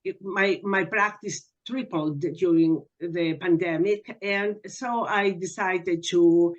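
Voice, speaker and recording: A woman's voice: lowish voice, steady tone, slow pace. A woman in her sixties from Greece, a guest hypnotherapist chatting and telling her story in a podcast interview. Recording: muffled lo-fi remote audio.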